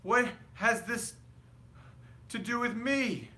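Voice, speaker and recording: A man's voice: very high-pitched, slow, sharp tone, pitched above the performer's own voice, full character voice. A man voicing a grumpy old male miser in a storybook, exclamatory and easily startled. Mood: alarmed.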